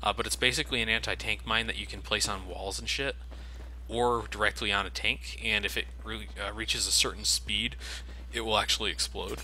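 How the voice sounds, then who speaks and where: A man's voice: fairly low pitch, steady tone, a little fast, bright, forceful tone, a little hiss or hum, low-quality recording. A man giving casual, profane game commentary with a sardonic manner. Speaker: let's play host.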